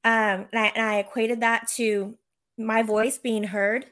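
A woman author and coach explains her thinking in an earnest, conversational way, stumbling over a word now and then. Her voice sits somewhat above the usual pitch. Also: level tone, a little slow, a bit bright. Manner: earnest, explanatory, a few restarts. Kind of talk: casual conversational chat.

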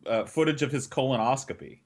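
A man's voice: medium pitch, fairly quick, background noise. A man co-hosting a podcast, with casual, crude, joking banter. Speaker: podcast co-host.

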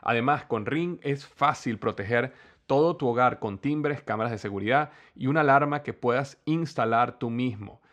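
A man's voice: mid-range voice, moderate pace, fairly bright tone. A man hosting a podcast, introducing a guest and reading a sponsor ad in an eager, friendly way with frequent fillers. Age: young adult to middle-aged, has a son. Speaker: podcast host.

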